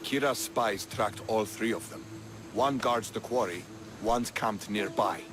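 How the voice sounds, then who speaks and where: An actor playing a proud, bold Spartan soldier eager for battle in a video game. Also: male voice, fairly low pitch, a little slow, noisy background, natural voice. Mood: stern. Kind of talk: video game cutscene acting.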